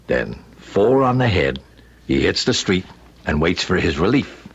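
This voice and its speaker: An actor playing a cocky young stick-up man in old-time radio drama, with rough, confident, insistent tough-guy talk. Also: sits low, sing-song, a little slow, heavily acted. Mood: confident, scheming. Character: cocky hard-boiled young stick-up man planning a robbery. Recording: dull old radio recording.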